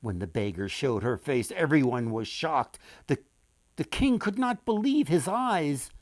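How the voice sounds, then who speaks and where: A man reading a folktale aloud as its author, in an expressive storytelling style with deliberate, dramatic pauses. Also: on the high side, no echo, clean studio sound.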